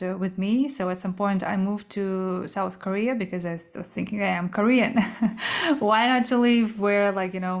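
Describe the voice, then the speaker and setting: A woman from Kazakhstan speaks as an interview guest, with an ordinary middle pitch. She talks in a halting way, often stopping to search for words, and laughs lightly between thoughts. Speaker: guest, entrepreneur. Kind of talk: casual interview answers.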